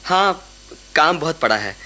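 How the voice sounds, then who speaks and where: A man's voice: a little high, expressive, unhurried, pushed and bright. An actor playing a young village man who works at a monastery and is shyly in love, speaking hesitantly in a radio play. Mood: nervous, hesitant.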